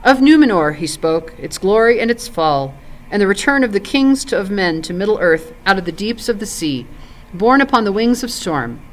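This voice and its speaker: Female narrator for literary read-aloud: measured, expressive, with widely moving pitch. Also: low-quality recording. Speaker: volunteer story reader. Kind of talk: scripted storybook narration.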